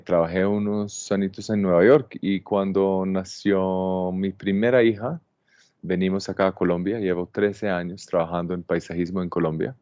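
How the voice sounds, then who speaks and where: A man in his forties from Israel with an Israeli accent, giving a calm, halting introduction to an online plant workshop, with many fillers. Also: low voice, no echo. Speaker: landscape designer teaching a plant workshop. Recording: dull, dry computer-mic sound.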